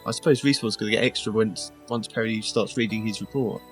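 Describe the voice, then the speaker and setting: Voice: medium pitch, steady tone, brisk, faint music behind. A podcast host running a comedy game, calling time and prompting the judge. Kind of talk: casual podcast banter.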